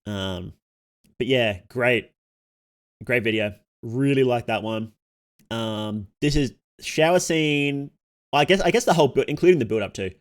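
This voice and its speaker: A man's voice: medium pitch, a little slow. A man giving casual livestream commentary, thinking aloud as he goes, musing and questioning himself. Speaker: streamer reacting to horror videos.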